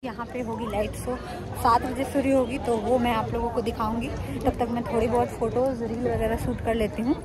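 A young woman filming herself on a phone outdoors in the rain, talking excitedly to the camera. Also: fairly high pitch, brisk. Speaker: travel vlogger. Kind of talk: on-camera vlogging. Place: outdoors at a crowded site in the rain. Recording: outdoor phone video, loud crowd. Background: loud crowd.

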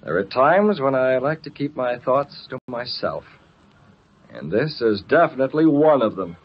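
An actor playing a calm, shrewd male newspaper publisher in 1940s radio drama, speaking in a measured, knowing way. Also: mid-range voice, close to an everyday voice. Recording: dull noisy old radio recording.

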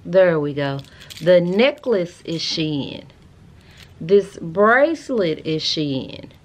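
An American woman in her thirties chatting casually to camera in a sassy, slangy, animated way, as a vlogger showing a haul. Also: fairly low pitch, drawn-out words. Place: furnished room at home, indoors.

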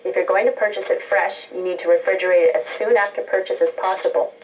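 A woman, giving clear informational tips as a spokesperson on an old TV tape. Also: mid-range voice, steady tone, quick pace, a little mellow. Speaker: turkey producers' spokesperson. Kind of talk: informational TV presentation. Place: indoor kitchen TV set. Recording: distant mic, old TV broadcast tape.

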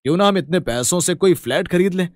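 Man as mild husband, cartoon moral story, apologetic, gentle, measured, dry sound. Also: sits high, animated, no room sound. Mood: reasoning.

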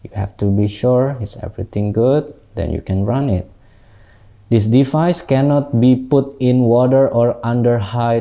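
A young Indonesian man with an Indonesian accent, a tattoo artist, unboxing gear in halting English, recorded on a lapel mic in a small studio. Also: medium pitch, dark tone. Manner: unhurried, halting non-native English with many fillers. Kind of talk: casual product unboxing.

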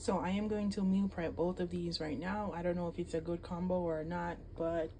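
A woman casually talking over her meal prep vlog as she shows her groceries, relaxed and trailing off at times. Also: fairly low pitch, moderate pace, a little hiss or hum. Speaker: vlogger. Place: home kitchen.

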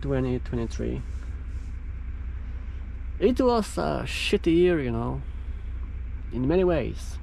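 A young man whose first language is Polish, a truck driver vlogging casually outdoors at parking spots. He talks in a halting way with many fillers. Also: medium pitch, lively intonation, vocal fry, warm tone, rough recording. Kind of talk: casual vlog talk to camera. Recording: outdoor vlog audio with road noise.

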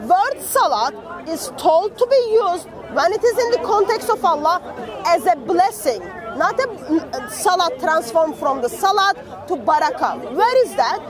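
High-pitched woman insisting in a crowd. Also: expressive, moderate pace. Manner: insistent, repeats her question. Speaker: street debater. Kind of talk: outdoor debate. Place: outdoor park among a crowd. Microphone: far camera. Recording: crowd chatter outdoors.

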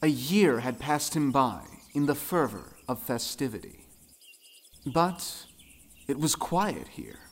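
Slow-paced man narrating a folktale. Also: mid-range voice, sing-song. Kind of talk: scripted folktale narration in an audio drama. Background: music.

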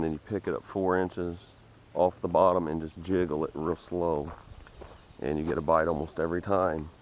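A man hosting a fishing vlog, chatting plainly to viewers from the bank of a rural canal. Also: deep voice. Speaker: fishing vlogger. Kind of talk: casual fishing commentary. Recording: faint outdoor noise.

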